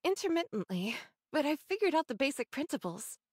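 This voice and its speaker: An actress playing an earnest, scholarly young android researcher in a video game, speaking in a measured, precise way. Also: female voice, high-pitched, sing-song, no room sound. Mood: earnest, composed. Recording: dry studio voice, faint game music.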